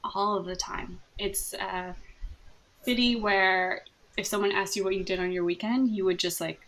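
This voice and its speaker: A soft-spoken woman therapist from Canada, speaking thoughtfully in a podcast interview and laughing often. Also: medium pitch, fairly even intonation, normal speed. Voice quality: soft voice with gravelly fry.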